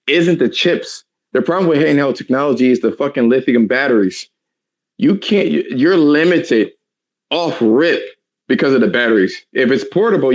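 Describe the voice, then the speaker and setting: A man in his thirties with a raspy, tired voice, ranting profanely in a heated casual chat. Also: medium pitch. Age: 30s. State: tired, hoarse voice.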